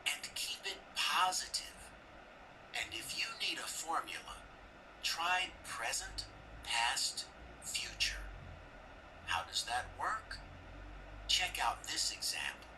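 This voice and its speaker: A man presenting a scripted English lesson in a measured, explanatory manner, speaking directly to the viewer. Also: on the high side, big pitch swings, vocal fry, recorded from a distance, background noise. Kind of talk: scripted instructional narration.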